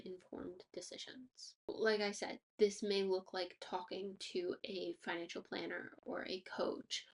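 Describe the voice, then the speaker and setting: A woman hosting a personal finance podcast, sounding a bit stuffy and nasal from allergies, upbeat and motivational with casual fillers. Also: mid-range voice. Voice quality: slightly nasal. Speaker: podcast host. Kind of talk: scripted podcast monologue.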